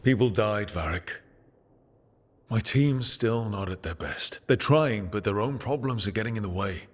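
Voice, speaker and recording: An actor playing an earnest, weary but determined team leader in a video game, plain-spoken and serious. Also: male voice, on the low side, measured pace. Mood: worried.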